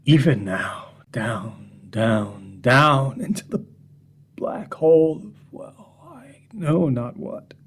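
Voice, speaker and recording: A man voices a haunted, bitter man confiding in a room of gentlemen. His husky voice jumps from sharp outbursts to breathy near-whispers. He sounds agitated and unsettled throughout. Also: medium pitch, slow pace. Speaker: narrator. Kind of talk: first-person horror story reading.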